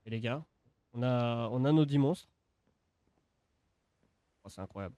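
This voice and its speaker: A man's voice: mid-range voice, unhurried, warm tone, no room sound. Casual male gaming commentator. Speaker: gaming video creator.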